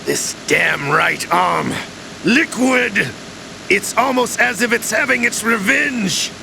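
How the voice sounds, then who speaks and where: A man playing a menacing older gunslinger villain in a game cutscene, gravelly, sly and theatrical, with a deliberate sneer. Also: sits high, expressive, noisy background, exaggerated voice. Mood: agitated, suspicious. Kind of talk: dramatic video game cutscene acting.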